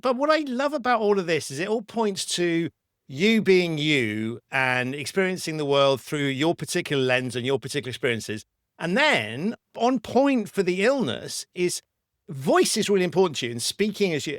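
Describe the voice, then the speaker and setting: A man's voice: a little high, lively intonation, brisk, dry recording, clean studio sound. A man hosting a podcast interview, affirming his guest and rephrasing his points as he builds to a question. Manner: warmly affirming, circling back to rephrase. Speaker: podcast host. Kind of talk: interview conversation.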